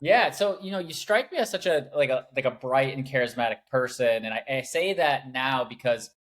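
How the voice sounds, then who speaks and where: A man in a mid-range voice who hosts a business podcast. He asks his guest friendly, encouraging questions in a relaxed, conversational way. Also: medium pitch, normal speed. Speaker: podcast host. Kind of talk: casual interview chat.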